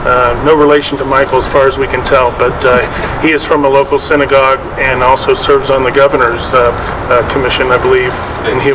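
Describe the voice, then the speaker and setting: Flat-toned man hosting, noisy distant recording. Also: brisk, hardly any pauses. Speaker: state representative hosting the event. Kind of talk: introducing a speaker. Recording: distant mic, loud room rumble.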